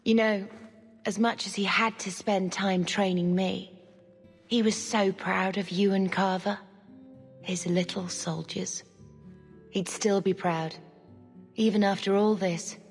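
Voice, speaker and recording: An actress playing a reflective, grieving younger sister, a mage in a fantasy game, speaking wistfully. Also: female voice, medium pitch, steady tone, slow, pauses often, breathy edge. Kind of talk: fantasy game cutscene acting. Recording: hall reverb, music behind.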